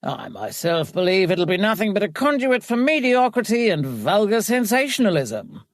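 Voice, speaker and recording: A woman's voice: mid-range voice, big pitch swings, moderate pace, no room sound, clean studio sound, voice pushed up, lightly acted. A woman voicing a pompous, pontificating older male radio boss in an audiobook. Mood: pompous, indignant. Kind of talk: audiobook character voice.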